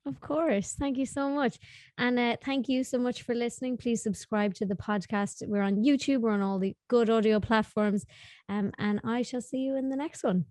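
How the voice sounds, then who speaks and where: A woman's voice: fairly high pitch, fairly even intonation, moderate pace, darkish tone, dry recording, studio quality. A woman hosting a conversational podcast interview. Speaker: podcast host.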